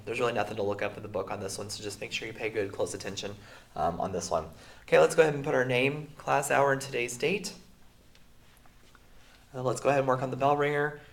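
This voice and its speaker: A man teaching a math lesson while sick, talking casually with lots of fillers. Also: mid-range voice. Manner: casual, filler-heavy explaining. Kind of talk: classroom lesson recording. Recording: echoey room, far from mic.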